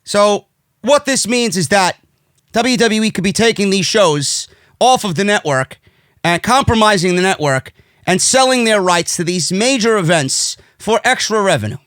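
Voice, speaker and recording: A man's voice: high voice, expressive, moderate pace, fairly bright tone, no echo, clean studio sound. A man with a New York accent delivering a brash, profane, indignant rant as an opinionated wrestling commentary host, hammering his points with emphasis. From United States.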